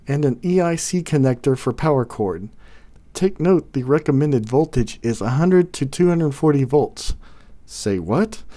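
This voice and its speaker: A man's voice: medium pitch, dark tone. A man hosting a product review, reading scripted narration with casual, folksy phrasing. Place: home studio.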